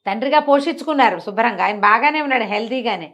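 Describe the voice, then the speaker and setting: పై స్థాయి గొంతుతో కథ చెప్పే మహిళ. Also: female voice, on the high side, lively intonation, fast, a bit bright, muffled recording. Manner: colloquial, asks rhetorical questions as she goes. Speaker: storyteller. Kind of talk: conversational oral storytelling.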